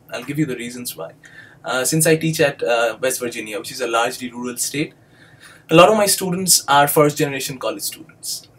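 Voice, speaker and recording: A young man from India with an Indian English accent, a native Bengali speaker, giving a measured recorded monologue as an instructor, often pausing with um and uh. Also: mid-range voice, fairly bright tone, a little hiss or hum, rough recording. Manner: measured and explanatory, many ums and uhs. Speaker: doctoral student and instructor.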